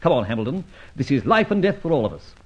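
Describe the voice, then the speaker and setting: Breezy rogue gentleman, old radio play, voice leaping all over, muffled recording. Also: medium pitch, sing-song, fast talker, slightly rough. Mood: persuasive. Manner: breezy, bantering, theatrical. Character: roguish, cocky gentleman adventurer, breezy and self-assured. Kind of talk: vintage radio drama acting.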